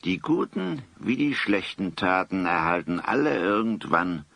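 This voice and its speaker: A man playing a genial, hospitable older townsman in a radio play, speaking in a measured, philosophical way. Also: low-pitched, very animated, vocal fry, fairly bright tone, dry recording, full character voice. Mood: calm, reflective. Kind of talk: German radio play acting.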